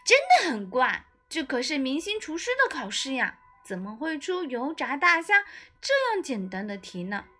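A woman voicing a small, indignant young apprentice chef with pouty, fast exclamations in a storybook. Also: high voice, big pitch swings, pushed and bright, soft background music, exaggerated voice. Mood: baffled, sulky.

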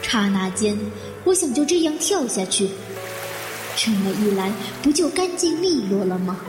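Actress as vengeful woman narrating a mystery radio drama, slow deliberate delivery, big reverb, music under her. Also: mid-range voice, very animated, natural voice. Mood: brooding, detached. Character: vengeful, resolute woman plotting against her would-be killer. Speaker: voice actor in an amateur audio drama. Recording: echoey, long reverb, music behind. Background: background music.

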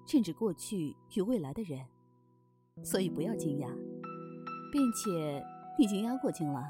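A woman's voice: fairly low pitch, lively intonation, moderate pace, close to an everyday voice. A woman acts as a mysterious innkeeper in a fantasy radio play, her voice swinging expressively. She is shrewd and teasing, with a commanding edge. Music plays prominently underneath. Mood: calm, probing. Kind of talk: Chinese fantasy radio drama acting. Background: background music.

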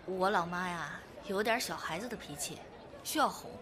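A woman's voice: on the low side, expressive, normal speed, a crowd in the background, lightly acted. A woman acting a confident, playful, reassuring woman in her thirties in a Chinese audio drama. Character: lively, confident woman of thirty-three devoted to her girlfriend.

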